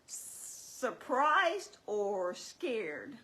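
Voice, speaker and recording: A woman's voice: medium pitch, very animated, extremely slow, bright, forceful tone, heavily acted. A woman playing a sensible female puppet in a scripted church puppet show, explaining things with wry, chiding teasing.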